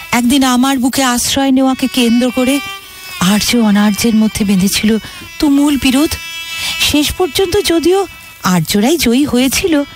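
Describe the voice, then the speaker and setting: Woman as slow, high-voiced, sorrowful Mother India. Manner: emotive, drawn-out, declamatory delivery. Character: Mother India, wounded, sorrowful, loving motherly nation spirit. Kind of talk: theatrical, poetic audio drama.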